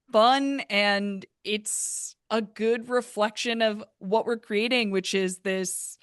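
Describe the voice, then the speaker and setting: A woman's voice: a little high, fairly bright tone, clean tone, no room sound, clean studio sound. An American woman speaking casually as a guest in an interview. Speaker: podcast guest, theater creator.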